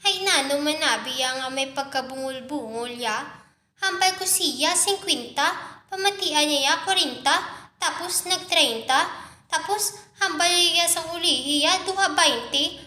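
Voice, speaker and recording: A woman's voice: high voice, unhurried, sharp tone, reverberant. A woman voicing a kind, hardworking young girl who sells fish and speaks politely to her elders.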